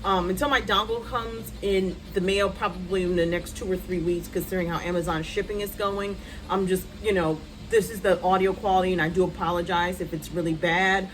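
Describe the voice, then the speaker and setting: A woman's voice: lowish voice, fairly even intonation, brisk, few pauses, poor audio. Chatty woman vlogging on her phone. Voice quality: loud voice. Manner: chatty and rambling, many ums and asides. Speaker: writer vlogger. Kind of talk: casual chat to a phone camera. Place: hot room at home with a fan. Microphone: phone front camera.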